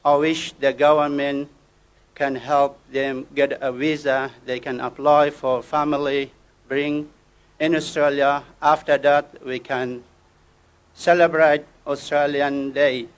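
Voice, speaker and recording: Man from afghanistan, very slow careful speech, flat voice, lots of restarts. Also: fairly high pitch, clear, resonant tone. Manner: halting, with restarts and broken grammar. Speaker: guest speaker. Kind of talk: prepared speech at a ceremony.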